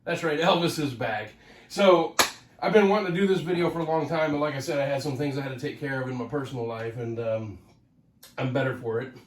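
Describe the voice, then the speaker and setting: A man's voice: medium pitch, brisk, reverberant, far from the mic, a little hiss or hum. A man hosting his own channel, talking casually and a little nasally because of a head cold, rambling with lots of fillers and restarts. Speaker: host of a record collecting channel. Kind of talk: casual solo talk to camera.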